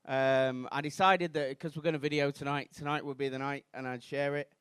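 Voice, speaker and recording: A Mancunian man in his thirties doing a rambling, self-deprecating stand-up routine on a comedy club stage, bursting into shouted outrage. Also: mid-range voice, steady tone, a little fast, clear, resonant tone, dry recording, studio quality. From Manchester, England.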